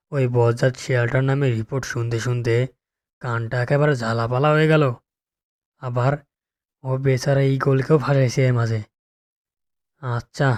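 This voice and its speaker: A man voicing a stern, fed-up tiger who rules the jungle in a children's cartoon, gruff and commanding. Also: medium pitch, darkish tone, lightly acted.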